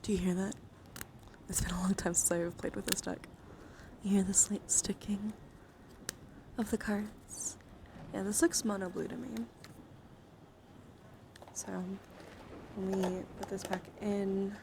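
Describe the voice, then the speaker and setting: A soft-spoken woman doing a casual ASMR live stream, often slipping into whispers and rambling informally, with playful asides. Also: medium pitch, level tone, measured pace, pauses often. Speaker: streamer, host of a live card-collection stream. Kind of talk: ASMR, casual live show-and-tell with chat. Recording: clear background noise and handling sounds.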